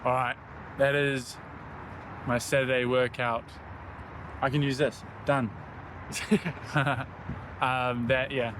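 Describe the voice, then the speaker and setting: A man's voice: mid-range voice, level tone, slow pace, pauses often, background noise. Breathless New man mid-run. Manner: rambling, self-deprecating, lots of "like". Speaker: running vlogger. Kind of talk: casual vlog talk while running. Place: outdoors on a city run. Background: loud music.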